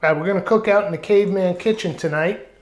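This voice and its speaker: A man's voice: sits high, quick pace, roomy sound, over music. Chatty, rambling man with a raised voice. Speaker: cooking show host. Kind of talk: casual vlog chat. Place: outdoor backyard kitchen.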